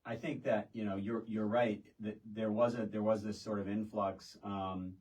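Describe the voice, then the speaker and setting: A middle-aged American man explaining things casually as a podcast guest, halting and wandering off into asides. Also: on the low side, flat delivery, darkish tone. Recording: distant microphone.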